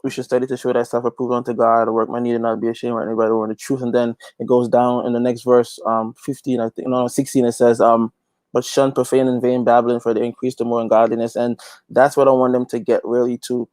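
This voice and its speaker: A man's voice: mid-range voice, little pitch movement, quick pace, darkish tone, no echo. A man from Guyana, talking as an interview guest in an earnest, emphatic, preacher-like way, repeating phrases for emphasis. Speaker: interview guest, gospel reggae singer and youth pastor. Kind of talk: conversational interview, preaching-like testimony.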